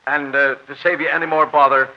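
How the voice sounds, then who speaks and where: An actor playing a nervous, placating store detective with hesitant, slangy speech in old-time radio drama style. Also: male voice, fairly high pitch, level tone, brisk, natural voice. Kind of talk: 1940s radio acting. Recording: dull, noisy old radio recording.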